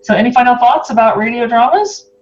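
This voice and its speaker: A woman's voice: fairly high pitch, brisk. A woman hosts an interview in a casual, musing style. Her speech is informal and conversational, and the recording sounds slightly dull and lo-fi. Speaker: host, interviewer.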